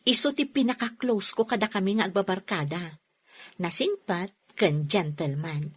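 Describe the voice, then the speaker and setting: A woman's voice: mid-range voice, moderate pace, husky, close to an everyday voice. A woman with an Ilocano accent playing a chatty, teasing young friend in an audio drama. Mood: gossipy, confiding. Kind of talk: radio drama acting in Ilocano.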